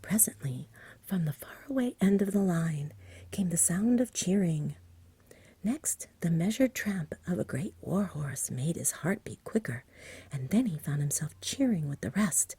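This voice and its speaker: A woman softly reading a storybook in a hushed, breathy ASMR whisper with a gentle delivery. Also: lowish voice, expressive, unhurried, no echo. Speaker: reader, ASMR host.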